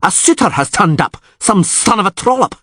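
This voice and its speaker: A hot-headed island warrior, played by a man, bellows threats in a loud, brash manner. The voice is pushed up and swings widely, with a husky edge. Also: sits high, animated, normal speed, dry recording, clean studio sound, pitched above the performer's own voice. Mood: furious. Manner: yelling, brash.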